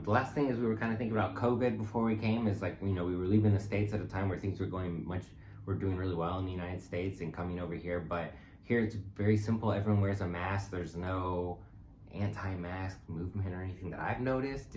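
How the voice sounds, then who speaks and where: A man co-hosting a travel vlog, chatting casually in a relaxed, halting way with many fillers. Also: deep voice. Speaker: vlog co-host. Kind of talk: casual chat. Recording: echoey room with faint noise.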